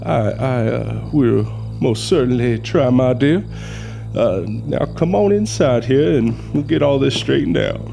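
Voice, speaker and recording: A courtly, crotchety Southern mortician drawls slowly with a creaky edge over clear background music. He sounds folksy and a little hammy, as in a small-town gothic radio play. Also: mid-range voice, lively intonation. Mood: courtly, reassuring. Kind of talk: audio drama acting.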